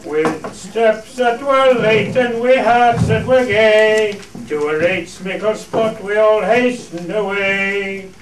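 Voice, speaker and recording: Slow, high-voiced Cumbrian fell farmer played by a man. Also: fairly even intonation. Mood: hearty. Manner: hearty, rustic dialect speech. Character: jovial Cumbrian fell farmer, singer at shepherds' gathering. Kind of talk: radio play dialect acting with hunting song. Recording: roomy, distant stage recording, faint noise.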